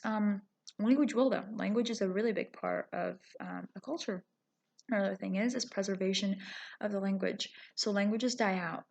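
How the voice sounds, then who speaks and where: Chatty woman with a creaky voice. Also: medium pitch. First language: Arabic. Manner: chatty, many ums and "you know"s. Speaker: vlogger. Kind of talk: casual talking-to-camera vlog.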